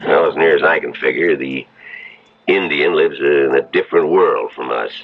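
A folksy American Western townsman for a radio drama. His voice is rock-bottom low and full of creak, with a plainspoken, cautious drawl. The old broadcast sound is muffled. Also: normal speed, faint music behind. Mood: grave. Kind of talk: 1970s radio drama acting.

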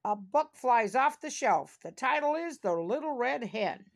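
An older woman reading a children's story aloud in a deliberate manner, with small asides to the listener. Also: a little high, animated. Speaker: storybook reader.

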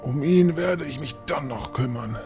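A man's voice: on the high side, expressive, measured pace, over music. A man playing an irritable, commanding, scornful old lord in a fantasy audio drama. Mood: irritated, dismissive. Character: grumpy, imperious old lord of the house. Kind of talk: fan-made fantasy audio drama acting.

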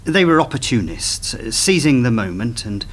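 A man's voice: medium pitch, big pitch swings, normal speed. A man acting a discreet, persuasive intelligence handler in a radio play, speaking carefully. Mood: measured.

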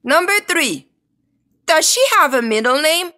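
A woman reading scripted English dialogues and exercise questions for a learners' audio book, clear and deliberate. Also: high voice, animated, pushed and bright. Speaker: textbook audio reader.

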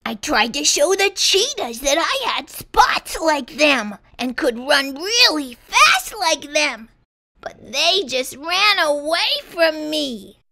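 A woman voicing a lonely young giraffe longing for friends in an earnest, childlike, expressive storybook style. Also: sits high, slow pace, creaky, pitched above the performer's own voice, full character voice. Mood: plaintive. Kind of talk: children's storybook character reading.

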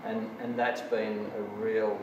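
A middle-aged mechanic explaining an outboard engine on camera, hesitant with many ums and technical detail. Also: male voice, lowish voice, completely flat delivery, slow, lo-fi sound. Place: indoor workshop beside a boat. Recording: echoey workshop, distant mic, noisy.

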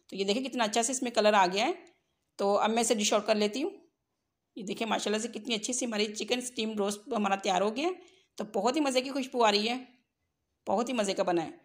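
A woman narrates a cooking tutorial as a home cook, explaining step by step in an instructional way. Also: on the high side. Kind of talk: recipe tutorial voice-over.

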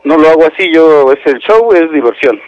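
A man's voice: a little high, lively intonation, brisk, dry recording, dull audio. Polite middle-aged Mexican man on the phone. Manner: polite, businesslike. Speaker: party clown taking a booking call. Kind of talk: phone conversation.

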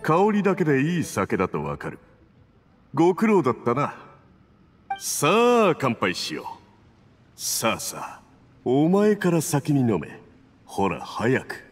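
A man's voice: mid-range voice, huge pitch swings, measured pace, long pauses, long reverb tail, soft background music. A man voicing a scheming, domineering male agent in an anime video game, smug, patronizing and commanding. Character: scheming, domineering Fatui agent toasting his accomplice.

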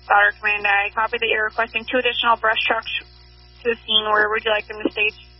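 Lady fire dispatcher on the radio, husky, muffled lo-fi sound, formal callouts. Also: fairly high pitch, little pitch movement, fast. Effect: two-way radio.

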